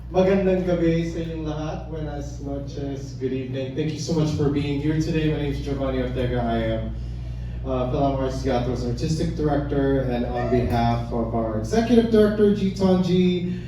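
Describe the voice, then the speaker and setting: A man with a fairly high voice opens an arts event, pausing often and thanking the crowd. He is heard in a very echoey room, away from the mic, with steady background noise. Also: distant mic. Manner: warm, halting, many fillers. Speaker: event host. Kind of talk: welcoming remarks at an arts event. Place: small arts gallery, indoors.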